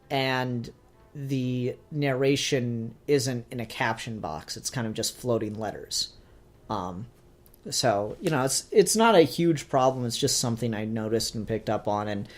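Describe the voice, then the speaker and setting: A man co-hosting a casual review discussion, thinking aloud in a halting way with repeated phrases. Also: mid-range voice. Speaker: podcast co-host reviewing a fan film.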